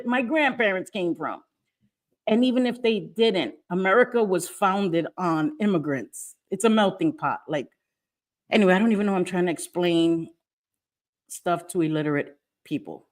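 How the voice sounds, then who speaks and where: A middle-aged woman from New York, hosting a casual livestream, speaking emphatically and defiantly and repeating her phrases. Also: medium pitch. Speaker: livestream host. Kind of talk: casual livestream chat, reading viewer comments. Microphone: webcam or phone mic, live stream.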